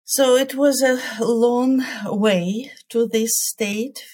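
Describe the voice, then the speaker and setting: Woman, unhurried pace, measured answers, hesitant pauses. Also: a little high, fairly even intonation, darkish tone. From Ukraine. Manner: careful, measured, with hesitant fillers. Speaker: guest culture coach. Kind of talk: interview answers.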